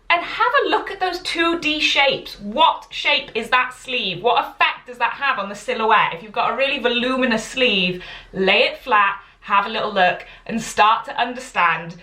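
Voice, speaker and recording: A woman's voice: a little high, pushed and bright. A woman with a Welsh accent, giving a chatty live tutorial on sewing from her home, friendly and prone to tangents. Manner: friendly and encouraging. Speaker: sewing teacher. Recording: echoey room.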